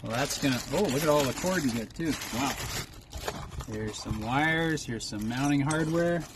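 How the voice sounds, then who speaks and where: A man's voice: mid-range voice, normal speed. Folksy man chatting over vehicle noise. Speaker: trucker vlogger. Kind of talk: casual unboxing vlog chat. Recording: clear background noise, vehicle ambience.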